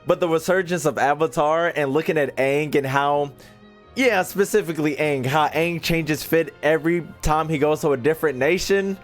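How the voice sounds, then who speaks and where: A man's voice: on the high side, moderate pace. A young male streamer reacting casually to a game. His talk is slangy, exclamatory and playful. There is music behind him. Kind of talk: casual live-stream commentary. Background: game audio and music.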